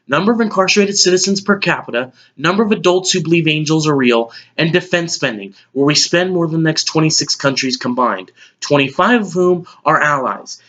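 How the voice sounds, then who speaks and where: A man's voice: a little high, expressive, fairly quick, hardly any pauses, a bit bright. A man playing a blunt, exasperated middle-aged news anchor in an emphatic, lecturing dramatic monologue. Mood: scornful, heated. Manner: emphatic, lecturing, list-driven delivery. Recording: dull lo-fi audio.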